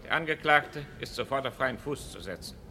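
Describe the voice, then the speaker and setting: An actor playing a stern, formal judge with a commanding manner in a 1970s radio crime play. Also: male voice, medium pitch, lively intonation, moderate pace, pushed and bright, slight background noise. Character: stern, formal male judge presiding over a trial.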